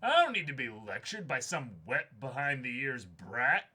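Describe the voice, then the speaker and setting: A man plays an older veteran diver from a manga, gruff in temper and quick to bluster. The delivery is combative and indignant, with a bright, forceful edge and animated swings in pitch. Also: exaggerated voice.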